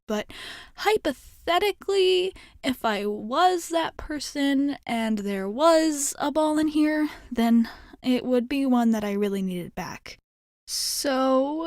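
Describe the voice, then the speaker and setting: A woman's voice: a little high, no echo, clean studio sound. A woman playing a sporty schoolgirl in an ASMR roleplay, breezy and blunt yet sheepish, rambling casually and backtracking hesitantly. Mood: sheepish, evasive. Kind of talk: ASMR roleplay acting, one-sided dialogue.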